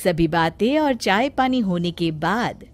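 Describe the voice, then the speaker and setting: A woman's voice: medium pitch, expressive, a little slow. A woman reading scripted narration as the storyteller of a cartoon family tale.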